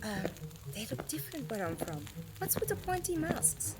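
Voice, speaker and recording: A woman as a high-voiced, inquisitive young traveller. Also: big pitch swings, moderate pace, very noisy. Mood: curious. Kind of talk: audio drama acting.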